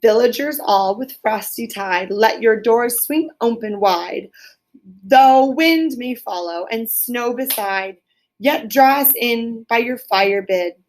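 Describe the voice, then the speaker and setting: Woman voicing a polite young field mouse caroler. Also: on the high side. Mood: festive reciting. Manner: respectful, deliberate. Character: polite elder field mouse leading little carol singers.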